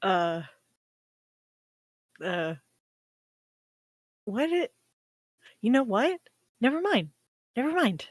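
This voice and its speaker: A woman playing a naive, bewildered space probe with a halting, questioning way of talking in an audio drama. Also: on the high side, big pitch swings, dry recording, heavily acted. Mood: hesitant. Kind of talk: table read of a script, audio drama acting.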